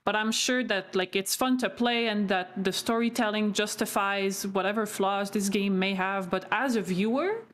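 A woman's voice: mid-range voice, moderate pace, few pauses, fairly bright tone. A woman of twenty-seven chatting casually and emphatically as a podcast guest from a room at home. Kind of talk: casual chat. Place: small room at home, indoors.

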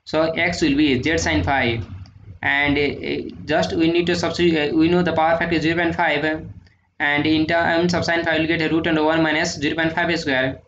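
Guy explaining circuit math step by step, slightly high voice, echoey room, kinda muffled lo-fi mic. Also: normal speed. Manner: methodical, steady, frequent "uh" and "sir". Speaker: engineering lecturer. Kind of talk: solving numericals on handwritten notes. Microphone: voice-over on screen recording. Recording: echoey room, dull lo-fi sound, faint noise.

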